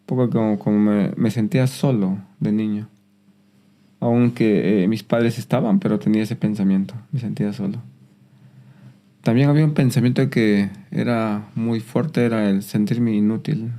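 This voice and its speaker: A man, giving a personal testimony in a soft, reflective way with some hesitant restarts. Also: fairly low pitch, steady tone, normal speed. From Peru. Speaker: guest.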